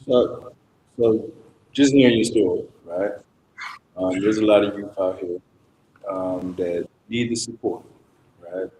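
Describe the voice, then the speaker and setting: A man's voice: on the low side, slow, long pauses, warm tone. A man on a discussion panel, talking conversationally and often checking in with a short tag question. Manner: repeatedly checks in with "right?". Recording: echoey room, faint background noise.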